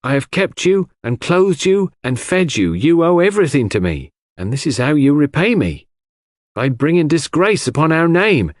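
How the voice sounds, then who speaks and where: Man as an imperious angry mistress, raised pitch. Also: big pitch swings, normal speed, no echo, clean studio sound. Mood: furious, resolute. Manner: sharp, clear, indignant declarations. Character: angry, imperious, wronged wealthy wife and mistress.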